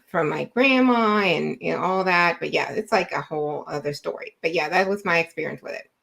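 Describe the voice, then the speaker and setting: Low-voiced woman, hesitant and conversational. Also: gravelly, a bit bright. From United States, with time in Puerto Rico and the Dominican Republic. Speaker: teacher on a discussion panel. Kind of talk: sharing personal experience in a panel talk.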